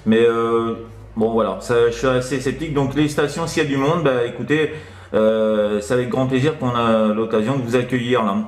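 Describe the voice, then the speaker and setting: A man's voice: mid-range voice, steady tone, normal speed. A man chatting casually as a CB radio operator, in a hesitant, rambling, chuckling way. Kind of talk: casual radio contact chat. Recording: roomy, echoing room sound.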